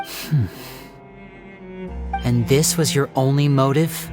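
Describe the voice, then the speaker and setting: An actress playing a composed young female investigator in a video game, speaking in a measured, coolly questioning way. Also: extremely low pitch, steady tone, music in the background. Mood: probing, dry.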